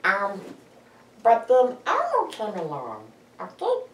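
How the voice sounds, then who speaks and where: A woman with a deep voice, talking casually as an interview guest and rambling through lists with fillers. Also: wildly swooping pitch, slow, a little hiss or hum. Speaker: interview guest, actress and model. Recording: echoey room, mic at a distance.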